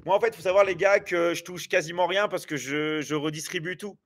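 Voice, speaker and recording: A man's voice: sits high, fairly even intonation, a little fast, a bit bright. A man in his forties hosting a casual livestream, chatting loosely with viewers and rambling with many hesitations and restarts. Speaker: livestream host.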